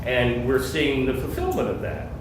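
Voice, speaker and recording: A man, a church senior warden, answering parish questions in a halting, conversational way. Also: medium pitch, wildly swooping pitch. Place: church meeting room. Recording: echoey room, distant mic, noise.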